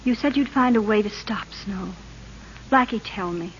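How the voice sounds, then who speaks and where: A woman's voice: medium pitch, normal speed, a little hiss or hum, lightly acted. Actress as an earnest woman, muffled old radio. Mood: anxious, urgent. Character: earnest, anxious politician's wife pleading with old flame. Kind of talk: 1940s radio drama acting.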